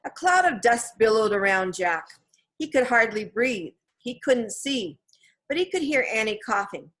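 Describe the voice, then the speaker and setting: A woman reading scripted narration from a children's novel in a measured, expressive storytelling manner. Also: a little high. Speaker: storybook reader.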